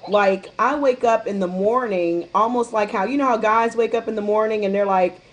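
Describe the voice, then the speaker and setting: A woman with a middle-pitched voice chats frankly on a group call, telling long stories and slipping into the voices of people she quotes. She talks in an easy, open, unguarded way. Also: steady tone, normal speed. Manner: candid, chatty storyteller who acts out quotes. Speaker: guest distributor sharing her experience. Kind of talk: casual chat on a conference call.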